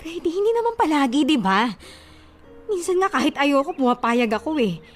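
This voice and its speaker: A woman's voice: high-pitched, big pitch swings, normal speed, faint music behind. A woman acting a distressed, pleading wife who resists her husband in a radio drama. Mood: hurt, pleading. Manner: pleading, emotional protests.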